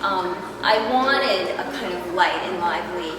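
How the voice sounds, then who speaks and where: A woman's voice: on the low side, lively intonation, a loud crowd. Older new york lady talking about her art, lots of um and restarts, far off in a big echoey room. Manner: halting, many ums and restarts. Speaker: artist presenting her work. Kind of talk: festival talk and Q&A. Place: large echoey venue. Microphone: distant microphone. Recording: echoey hall, far from mic, noisy.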